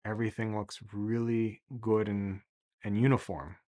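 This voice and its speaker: Relaxed man chatting about boat repairs. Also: on the low side, unhurried. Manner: relaxed, enthusiastic, many "really" and "uh" fillers. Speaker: sailing vlogger explaining boat repairs. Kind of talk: casual explanatory talk to camera. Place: small office indoors.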